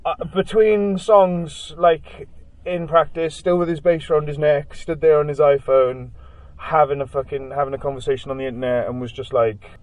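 Rambling young Welsh musician. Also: male voice, on the high side, moderate pace, hardly any pauses, dry recording, low-quality recording. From Wales. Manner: rambling, many "like" fillers, animated storytelling. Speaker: band member, interviewee. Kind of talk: casual interview chat.

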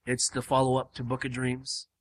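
A man hosting a casual podcast, his voice hoarse and starting to give out, rambling with lots of fillers and restarts. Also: medium pitch, completely flat delivery, normal speed. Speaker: podcast host. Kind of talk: casual chat. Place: home room.

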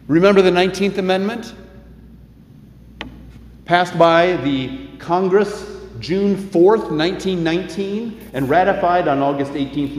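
An older man preaching a sermon in measured tones, slowing down for emphasis, in a church sanctuary. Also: medium pitch, darkish tone. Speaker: pastor. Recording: echoey hall, faint room noise.

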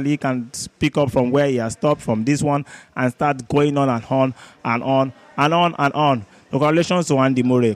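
A man on a sports podcast panel talking casually, in a halting way, repeating words and phrases for emphasis. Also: medium pitch, no echo.

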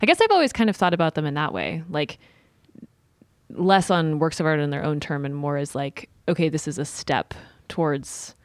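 A woman's voice: low voice, lively intonation, moderate pace, no echo. A woman speaking informally as a panelist in a casual, conversational discussion. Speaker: podcast panelist.

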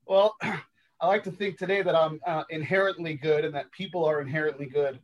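Halting man from Los Angeles. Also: fairly high pitch, steady tone, lo-fi sound. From Los Angeles, United States. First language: sign language. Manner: reflective and halting, many ums and uhs. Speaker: podcast guest. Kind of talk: telling his life story in a casual interview.

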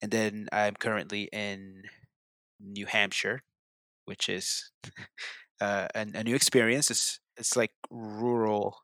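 A man's voice: lowish voice, flat delivery, slow, pushed and bright, dry recording. A young man from El Salvador, a guest interpreter, talking casually and hesitantly with many restarts. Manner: hesitant, repeats words. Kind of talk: casual conversation.

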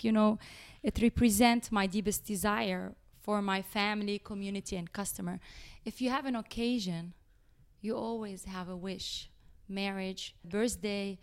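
A middle-aged woman from Lebanon with a Lebanese accent, chatting as a guest about her business, speaking haltingly with fillers and restarts. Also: medium pitch, smooth. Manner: halting, many fillers and restarts, nonstandard grammar. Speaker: guest, chocolate business owner. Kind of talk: casual interview chat.

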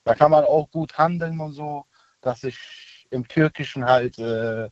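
A man's voice: a little high, measured pace, dry recording. A man in his twenties, originally from Turkey, calling in to a radio show, answering briefly and hesitating with fillers. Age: 20s. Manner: short agreeing replies, hesitant with fillers. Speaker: caller. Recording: dull phone line.

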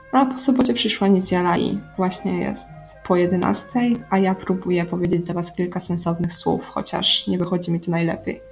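A woman's voice: lowish voice, gravelly. A young woman hosting a radio segment as a personal, diary-style monologue, speaking directly to listeners as if confiding in them. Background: background music.

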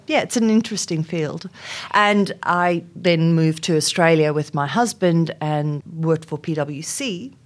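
A middle-aged South African woman, Afrikaans her first language, giving thoughtful interview answers with frequent ums and restarts. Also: low voice. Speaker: interview guest, HR executive.

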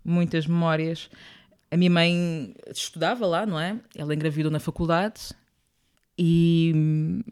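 A woman's voice: sits low, fairly even intonation, a little slow, darkish tone, clear, resonant tone. A young woman from Angola, speaking as an interview guest, thoughtful, often circling back and adding small tag questions. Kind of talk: reflective interview conversation.

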